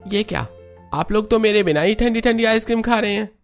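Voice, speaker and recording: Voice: mid-range voice, fairly quick, faint music behind, lightly acted. An actor voicing an eager, polite young man, the youngest son, in a cartoon family story, respectful and earnest. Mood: surprised, delighted. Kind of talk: animated family story dialogue.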